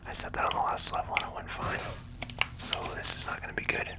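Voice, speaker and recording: Whispering ASMR gamer. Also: fast. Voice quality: breathy unvoiced whisper. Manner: hushed, relaxed running commentary. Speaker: gaming video host. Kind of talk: ASMR whispered game commentary.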